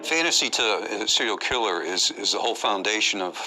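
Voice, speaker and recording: Very bright, lowish male expert voice. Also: animated, a little fast, faint music behind, rough recording. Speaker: criminal psychology commentator. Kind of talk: analytical documentary interview.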